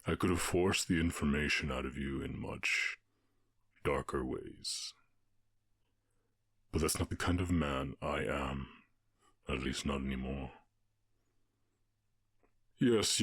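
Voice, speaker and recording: A man voicing a calm, commanding orc warchief in an ASMR roleplay, with a husky voice and a measured, condescending, teasing manner. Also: no room sound, studio quality, full character voice. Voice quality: very deep, husky.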